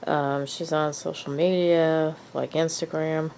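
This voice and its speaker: A woman's voice: sits low, flat delivery, slow pace, gravelly, darkish tone, a little hiss or hum. A woman with a cold, sniffly and hesitant, talking casually in a show-and-tell vlog with lots of ums and uhs. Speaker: vlogger.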